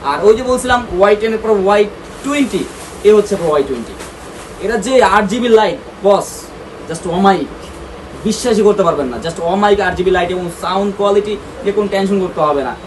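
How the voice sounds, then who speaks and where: A man's voice: high-pitched, expressive, fast talker. A man with a Bangladeshi accent giving a casual promotional product showcase as a shop presenter, talking straight to viewers with English words and check-in tags mixed in. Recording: clearly noisy, ambient background sound.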